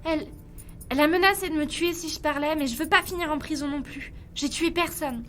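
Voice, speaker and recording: A scared witness in an audio drama played by a woman, pleading timidly in a high voice, with faint music behind her. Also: brisk, fairly bright tone. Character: frightened, pleading female witness.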